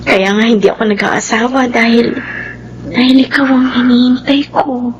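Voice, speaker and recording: A woman's voice: a little high, normal speed, lo-fi sound, background noise, natural voice. An actress playing a devoted, tender woman in love in a Filipino radio drama, speaking with heartfelt feeling.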